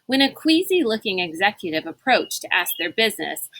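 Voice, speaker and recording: A woman reading a storybook aloud in an expressive, dramatic narrating style. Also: medium pitch, brisk, slight background noise. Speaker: reader of a read-aloud book.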